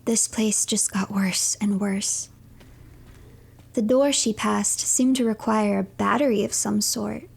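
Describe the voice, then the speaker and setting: Breathy, slightly creaky woman narrator. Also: medium pitch, steady tone, slow pace. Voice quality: breathy, often near a whisper. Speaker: streamer narrating a game story. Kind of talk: scripted storybook-like game narration.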